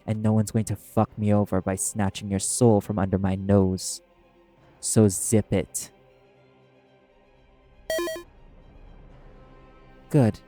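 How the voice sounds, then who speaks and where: A proud, prickly demon played by a man in an audio roleplay. The voice is fairly low and very mellow, yet the delivery is curt, scornful and commanding, with faint music underneath. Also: flat delivery, measured pace, close to an everyday voice. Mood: stern, threatening. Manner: terse, scornful, commanding, swearing.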